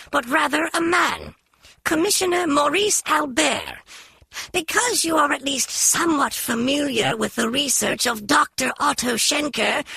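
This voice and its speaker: A woman's voice: sits high, gravelly, bright, forceful tone, no room sound, exaggerated voice. A woman voicing a haughty puppet messenger for villains in a spy game, delivering pompous, formal, theatrical threats. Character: menacing puppet messenger for a criminal organization, haughty and shrill. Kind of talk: scripted villain speech in a spy video game.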